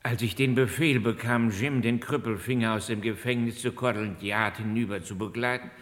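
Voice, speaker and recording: An actor playing a dutiful, slow-witted police escort in a comic radio play, explaining himself earnestly and at length. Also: male voice, mid-range voice, big-room sound, close to an everyday voice. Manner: deferential, earnest, long-winded explanations. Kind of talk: comic radio crime drama acting.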